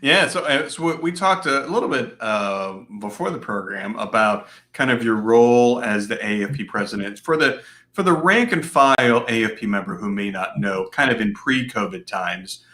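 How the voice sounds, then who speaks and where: A man's voice: lowish voice, lively intonation, normal speed, bright, forceful tone. A man moderating an interview, asking questions casually and informally and rephrasing them as he goes.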